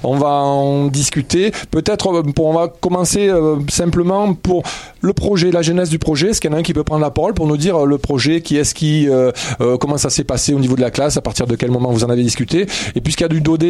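Guy hosting a little local radio show in a school studio, talks fast, chatty, kinda rambly. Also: fairly high pitch, warm tone, no echo. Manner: chatty, loose, many restarts. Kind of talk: casual radio chat.

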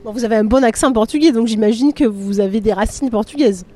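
A woman interviewing guests for local radio, asking questions in a hesitant, halting way. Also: a little high, dark tone.

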